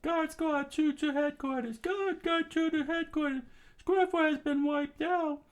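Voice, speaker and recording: A man voicing a panicked young male palace guard in a strained high falsetto, reporting urgently. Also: fairly even intonation, unhurried.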